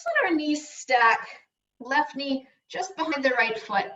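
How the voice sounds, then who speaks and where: A woman yoga instructor leading slow guided breathing and meditation in soothing, unhurried short phrases with long pauses. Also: high voice, animated, fairly bright tone. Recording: dull, echoey room, distant mic.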